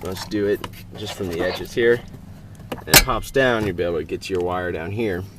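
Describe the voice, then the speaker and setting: A man's voice: sits low, moderate pace. A man giving an informal, plainspoken how-to walkthrough as a DIY video host, filmed in and around a pickup truck. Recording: clear background noise, vehicle ambience.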